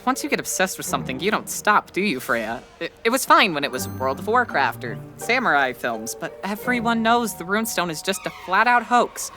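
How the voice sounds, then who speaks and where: An actor playing a shy high school boy who doubts himself and speaks hesitantly in an audio drama. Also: sing-song, brisk, few pauses, music in the background. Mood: shy, defensive.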